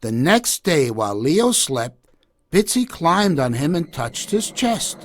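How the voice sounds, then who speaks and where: A man's voice: a little high, sing-song, slow pace, a little hiss or hum. A man narrating a scripted children's story for English learners.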